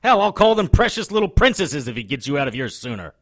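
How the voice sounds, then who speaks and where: A man's voice: a little high, very animated, fast talker, a bit bright. A man playing a sarcastic, impatient, world-weary reluctant champion in an audio drama, wisecracking with exasperation. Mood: exasperated.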